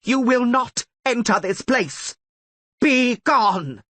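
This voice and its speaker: A man's voice: medium pitch, very animated, fairly bright tone, breathy edge, no echo, pitched above the performer's own voice. A man voicing a stern, imperious archmistress sorceress. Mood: shouting. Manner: commanding, formal, measured. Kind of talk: audiobook character reading.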